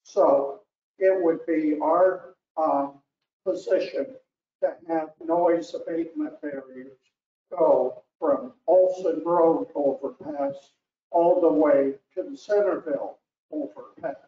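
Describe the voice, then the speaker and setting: An older man speaks slowly and haltingly at a public hearing, pausing often with fillers. He is picked up from a distance in an echoey room, and the sound is dull and muffled. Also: fairly high pitch. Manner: slow, halting, with pauses and fillers. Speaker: public commenter, homeowners association president. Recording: distant, roomy, muffled hall mic.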